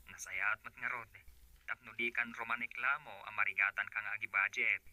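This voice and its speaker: A man's voice: medium pitch, steady tone, brisk, bright, forceful tone, no room sound. A man acting a practical husband who works in the city, in an Ilocano radio drama. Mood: caring.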